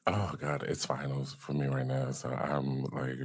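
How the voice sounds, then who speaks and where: Very deep-voiced man, easygoing podcast host. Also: flat delivery, measured pace, slightly rough, no room sound, clean studio sound. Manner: easygoing, joking. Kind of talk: casual podcast chat.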